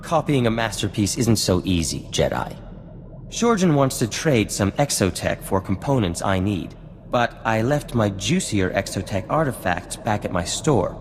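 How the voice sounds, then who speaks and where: An actor playing a vain, refined scientist-inventor in a video game cutscene, speaking in a formal, theatrical and florid way. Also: male voice, fairly low pitch, very animated, normal speed, a little hiss or hum. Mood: smug, airy. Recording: long reverb, music underneath.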